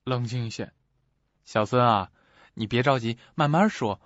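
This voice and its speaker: An actor playing a steady, reassuring male manager in a suspense radio drama, coaxing and probing. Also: medium pitch, moderate pace, slight background noise, lightly acted. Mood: calming, probing. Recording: dull, dry audio.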